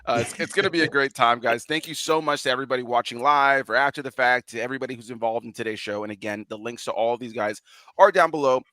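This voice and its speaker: A man hosting a casual online panel show, sniffly from allergies, talking in a relaxed, chatty way with frequent restarts. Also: mid-range voice, quick pace, no room sound. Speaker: host.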